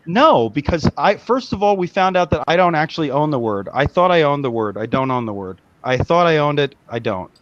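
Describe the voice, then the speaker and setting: A man's voice: on the high side, very animated, a little fast, hardly any pauses, no echo, dull audio. A man hosting a casual live video chat, rambling with restarts and firing off questions at his callers.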